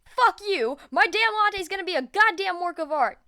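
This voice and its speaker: Woman playing an explosive, shouty teenage boy. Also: very high-pitched, lively intonation, normal speed, pitched above the performer's own voice, exaggerated voice. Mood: furious. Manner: shouty, aggressive, cursing. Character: explosive, foul-mouthed teenage boy, always angry.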